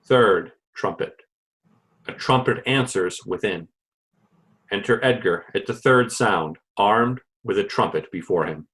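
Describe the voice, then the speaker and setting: A man's voice: fairly low pitch, unhurried, a bit bright, rough recording. Man reading terse stage directions.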